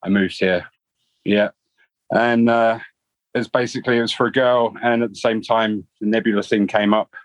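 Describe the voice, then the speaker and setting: Low-voiced London man, rambling. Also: moderate pace, no room sound. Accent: London English. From England. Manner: relaxed, rambling, many fillers. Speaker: guitarist. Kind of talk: casual interview chat.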